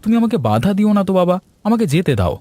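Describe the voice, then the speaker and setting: A man raises his voice to play an eager young son in a cartoon, pitched well above his usual voice and pleading to be let out. The sound is dry and close. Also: sing-song, fast talker, warm tone, no echo.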